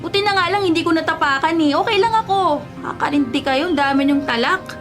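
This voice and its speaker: A woman's voice: extremely high pitch, brisk, music in the background. An actress playing an irritable, sleepy young woman race driver in a Filipino radio drama, snappy and sulky, with a Filipino accent. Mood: irritated.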